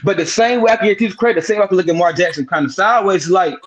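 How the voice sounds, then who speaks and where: A man's voice: high-pitched, rapid-fire. Brash man on a fired-up sports rant. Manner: profane, emphatic ranting with repeated words. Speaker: podcast co-host. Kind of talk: casual sports talk.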